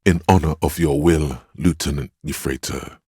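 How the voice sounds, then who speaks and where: An actor playing a stern, steadfast inner voice of willpower in a video game, giving measured, solemn counsel and urging composure. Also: male voice, very deep voice, steady tone, dark tone, slightly rough, dry recording, studio quality, full character voice. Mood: grave, consoling. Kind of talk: dramatic video game voice acting.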